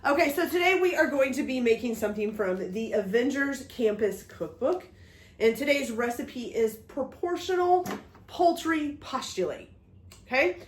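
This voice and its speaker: A woman's voice: high-pitched, lively intonation, normal speed, a little hiss or hum. A woman hosting a home cooking video, talking through each step while getting over a cold, checking in with "okay?" as she goes. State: recovering from a cold, voice a bit off. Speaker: cooking vlog host. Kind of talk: casual cooking demonstration. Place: home kitchen. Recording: echoey kitchen room.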